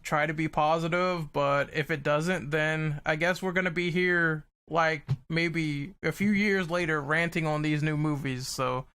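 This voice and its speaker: A man with a fairly high, very bright and clear voice hosts a casual panel discussion. He speaks informally and freely gives his opinions. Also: steady tone, a little slow, hardly any pauses. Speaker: podcast host.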